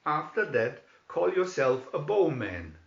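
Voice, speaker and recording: A merry, bold outlaw leader from a storybook, acted out by a man, hearty and declamatory. The pitch rides high and swings widely, in a dull, roomy recording. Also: sing-song, slow pace, creaky, a little hiss or hum, heavily acted. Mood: challenging.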